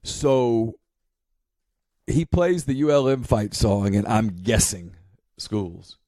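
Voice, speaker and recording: A man's voice: on the low side, slow, dark tone, dry recording. A man speaking casually as a podcast co-host. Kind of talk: casual storytelling.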